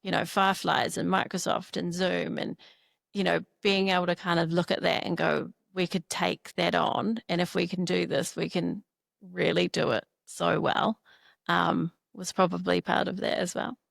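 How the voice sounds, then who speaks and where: A woman's voice: lowish voice, little pitch movement, normal speed, vocal fry, no room sound, studio quality. A woman from New Zealand with a New Zealand accent, co-founder of a startup, chatting in a casual interview, full of "you know" and "like".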